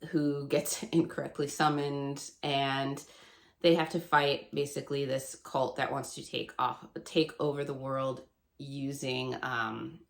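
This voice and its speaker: A woman in her thirties chatting casually to camera as a book vlogger, her voice still gravelly and nasal from a cold, rambling and laughing often. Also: very deep voice, level tone, a little slow. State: hoarse and stuffy from a cold.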